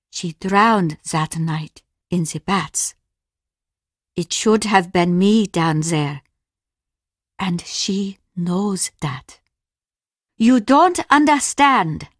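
A woman playing a grieving older Japanese innkeeper mother in a video game, reserved and stern, speaking in a measured, formal way. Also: on the high side, no echo, studio quality, full character voice. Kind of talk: video game character acting.

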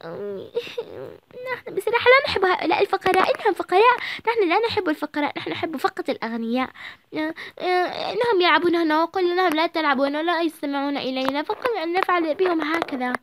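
A woman's voice: very high voice, rapid-fire, slight background noise. A woman voicing spoiled, scheming rich little girls who giggle and whisper plots to each other. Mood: whiny.

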